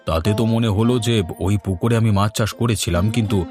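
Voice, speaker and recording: Cartoon village man male actor: inquisitive, mild-mannered, lowish voice over a music bed. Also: fairly quick, a little mellow, natural voice. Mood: puzzled. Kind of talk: cartoon voice acting.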